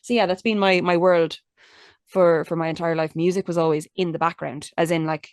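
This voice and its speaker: A woman's voice: on the low side, steady tone, a little fast, dry recording, studio quality. A young adult Irish woman in a casual interview, talking candidly and chattily with lots of restarts and fillers. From Ireland. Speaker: interview guest, musician.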